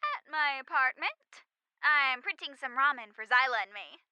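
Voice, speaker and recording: Woman acting high, bright, brave Nova. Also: lively intonation, normal speed, no echo, muffled recording, full character voice. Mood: friendly, concerned. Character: brave, concerned friend on the phone, Nova. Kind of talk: audio drama dialogue.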